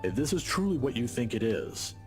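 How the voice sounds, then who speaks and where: Guy doing wry male professor in a game, measured wording but lively melody, music behind him. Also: medium pitch, lightly acted. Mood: wry, advising. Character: wry, composed male professor giving advice. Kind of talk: visual novel game acting.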